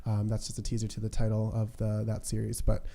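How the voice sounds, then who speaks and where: A man's voice: fairly low pitch, completely flat delivery, quick pace, creaky, warm tone. A young adult American man hosting a casual podcast in a conversational way. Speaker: podcast host.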